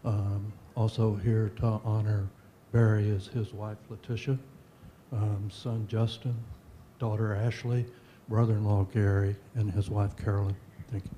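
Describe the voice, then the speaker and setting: A man gives brief formal remarks, reading out family names in turn. His voice is lowish, very flat and very dark, with faint noise behind. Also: a little slow. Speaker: brother of a missing naval officer. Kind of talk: open-mic remarks.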